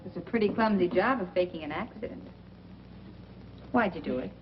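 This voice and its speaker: A woman's voice: lowish voice, expressive, a little fast, over music, lightly acted. An actress playing a shrewd, world-weary woman drawn to bad men, knowing and sardonic in a 1940s noir style. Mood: sardonic probing. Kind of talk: 1940s film noir acting. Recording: dull, noisy old film soundtrack.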